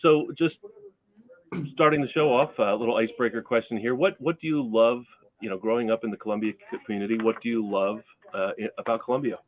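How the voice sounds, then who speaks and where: A man's voice: mid-range voice, fast talker. A man hosting a show, chatting casually as he interviews a guest over thin, phone-like audio. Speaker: host.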